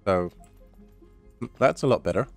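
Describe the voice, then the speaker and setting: A man's voice: on the low side, animated, unhurried, creaky, faint music behind. A man with a stuffy, nasal voice from a cold, chatting casually while commentating a survival game. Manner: chatty, rambling, mild swearing. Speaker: gaming YouTuber.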